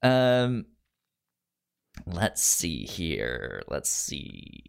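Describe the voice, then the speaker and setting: A man's voice: low-pitched, wildly swooping pitch, very slow, vocal fry, clear, resonant tone. A man hosting a podcast in casual review chat, rambling a little as he thinks aloud. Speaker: podcast host.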